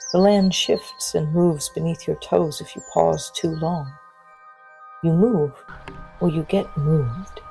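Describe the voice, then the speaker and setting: An actress playing a wild, wary young woman, speaking a reflective, hushed and halting monologue in an intimate urban fantasy audio drama. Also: low voice, gravelly, faint music behind, heavily acted. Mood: guarded.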